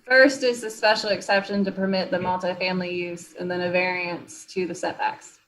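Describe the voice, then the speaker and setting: A woman's voice: fairly low pitch, little pitch movement, moderate pace, a bit bright, low-quality recording. A woman on staff at a public meeting, clarifying procedure in a matter-of-fact official way. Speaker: zoning board staff member.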